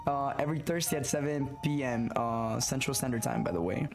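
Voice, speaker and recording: A young man with a hoarse, raspy voice from being sick, talking through a horror game and reacting to scares. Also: medium pitch, fairly quick, over music. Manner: jumpy, swearing, talks to himself while playing. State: hoarse from illness. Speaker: gaming YouTuber. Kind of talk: horror game let's play commentary. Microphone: headset.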